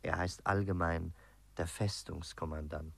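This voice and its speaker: An actor in a 1950s radio play playing a worldly, teasing artist who banters in a knowing, theatrical manner. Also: male voice, sits low, steady tone, unhurried, vocal fry, slightly rough, no echo, full character voice. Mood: intrigued, gossipy.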